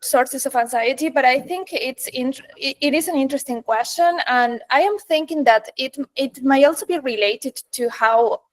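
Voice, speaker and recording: A woman's voice: high voice, moderate pace, hardly any pauses. A woman presenter thinking aloud in a reflective academic discussion, qualifying her points as she goes.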